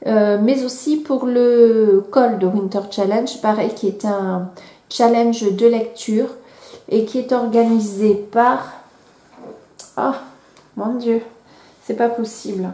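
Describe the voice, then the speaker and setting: A woman in her thirties with a cold chats casually about her hobby, full of hesitations and restarts. Her voice sits a little high and mellow, heard in an echoey room. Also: steady tone, slow pace. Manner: hesitant, many fillers and restarts. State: has a cold. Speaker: vlogger. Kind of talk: casual chat.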